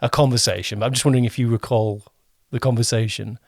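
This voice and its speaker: A man's voice: mid-range voice, fairly even intonation, fast, creaky, darkish tone, dry recording, clean studio sound. A man chatting as a podcast host, interviewing a guest in a hesitant, encouraging, informal way. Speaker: podcast host and series producer.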